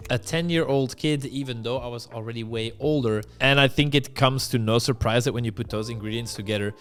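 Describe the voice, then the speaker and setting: Young Belgian-raised dating coach, candid and blunt, telling his own story to camera over a faint music bed. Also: mid-range voice. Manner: candid, blunt, self-deprecating.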